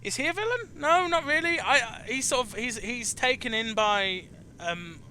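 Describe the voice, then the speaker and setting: A man hosting a casual chat about video games, repeating words haltingly as he searches for his phrasing. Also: extremely high pitch, lively intonation, sharp tone, slight background noise. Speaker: chat show host.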